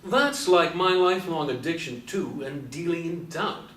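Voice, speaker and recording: An actor playing an aging, wordy Beat poet in a stage play, brooding and declamatory. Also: male voice, on the high side, animated, normal speed, a bit bright. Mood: musing, wistful. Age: older. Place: live stage performance recorded from a distance. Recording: roomy, distant mic, faint background noise.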